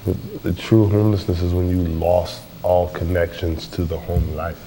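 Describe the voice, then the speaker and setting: Deep, mellow young man. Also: little pitch movement, poor audio. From born in Jamaica. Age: 20s. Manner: deliberate, philosophical. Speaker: interview guest. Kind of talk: reflective interview answers. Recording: faint background noise.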